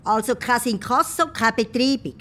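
An actress playing a fussy, rule-bound village bureaucrat in a radio play, officious and insistent. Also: female voice, fairly high pitch, moderate pace, fairly bright tone, slight background noise, lightly acted. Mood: officious, nagging.

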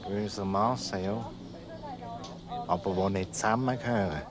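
A man's voice: lowish voice, moderate pace, lightly acted. An actor playing a curt, inquisitive man with a Swiss German accent in a radio comedy. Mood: brusque, inquisitive. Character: brusque, inquisitive man searching for a couple.

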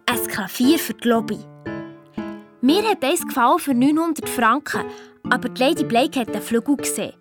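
A practical, sceptical schoolgirl detective speaks in a high voice with a Swiss German accent. She retells events colloquially in a children's radio play, with music clearly underneath. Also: animated, moderate pace. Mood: matter-of-fact.

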